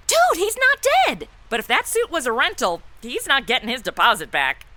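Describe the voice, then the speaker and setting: A woman's voice: high-pitched, huge pitch swings, moderate pace, pushed and bright, no echo, exaggerated voice. A woman playing a blunt, exasperated, sarcastic superhero sidekick in a comic audio drama.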